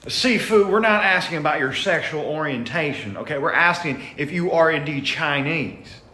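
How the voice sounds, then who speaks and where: A man's voice: fairly high pitch, expressive, normal speed, reverberant, a little hiss or hum. A man playing a blustering, ignorant US senator who badgers a witness in a comedy sketch. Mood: smug. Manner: badgering, mocking, loud. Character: blustering ignorant male US senator, pushy interrogator.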